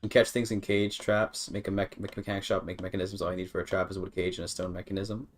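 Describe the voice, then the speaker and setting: A man's voice: low-pitched, little pitch movement, fast, a little hiss or hum. A man giving casual live gameplay commentary, rambling and repeating words as he thinks aloud. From Canada. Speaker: game streamer.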